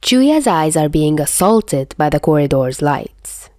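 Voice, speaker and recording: A woman reads fan fiction as an expressive narrator. Her voice sits a little low and moves with lively intonation at a slow pace. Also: no echo, studio quality. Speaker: podfic narrator.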